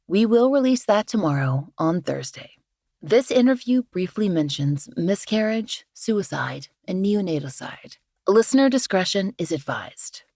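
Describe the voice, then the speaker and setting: A woman hosting a true-crime podcast in measured, earnest scripted narration, her voice a little scratchy from the flu. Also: on the low side, darkish tone, no room sound, clean studio sound. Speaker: podcast host.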